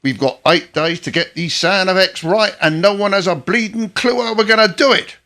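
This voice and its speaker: An actor playing a chirpy, gruff working-class father and war veteran in a radio play, with blunt, teasing, colloquial talk. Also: high-pitched, normal speed, bright, forceful tone. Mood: brisk.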